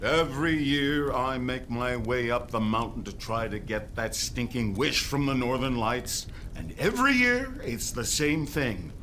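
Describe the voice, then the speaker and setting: An actor playing a grumpy, scheming magical creature in a family audio drama, sneering and mocking. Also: male voice, medium pitch, expressive, unhurried, few pauses, sharp tone, slight background noise. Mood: bitter, mocking.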